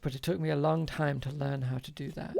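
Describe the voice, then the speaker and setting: A man voicing a stern female weapons trainer in a tabletop game, speaking in terse, measured, matter-of-fact sentences. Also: on the high side, steady tone, gravelly, darkish tone, soft background music. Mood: stern, instructive.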